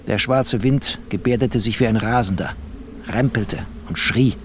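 An actor playing a bitter, bookish male refugee who narrates a postwar German radio play in expressive, poetic, sardonic tones. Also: mid-range voice, a little slow, a little hiss or hum, lightly acted. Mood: brooding, lyrical.

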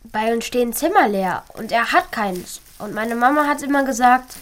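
A woman's voice: on the high side, lively intonation, moderate pace, natural voice. A woman playing an eager, thoughtful, kind-hearted schoolchild who acts and narrates in a children's radio play. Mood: secretive, determined.

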